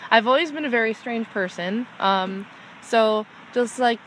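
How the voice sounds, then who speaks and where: A woman's voice: fairly high pitch, unhurried, fairly bright tone, slight background noise, poor audio. A young woman in casual group chat, talking earnestly and rambling with restarts. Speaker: panel guest in a fan discussion.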